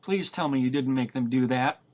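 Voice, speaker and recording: A man's voice: mid-range voice, moderate pace, close to an everyday voice. A man reading as a blunt, exasperated fugitive woman. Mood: impatient. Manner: blunt, sarcastic. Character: sharp, exasperated, tough-talking woman on the run.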